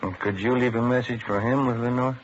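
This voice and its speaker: A man's voice: mid-range voice, fairly even intonation, a little fast, close to an everyday voice. A man with an American accent playing a polite, businesslike detective partner in a vintage radio police drama, courteous and matter-of-fact. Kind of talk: 1940s-50s radio police drama acting. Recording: dull, faintly noisy vintage radio recording.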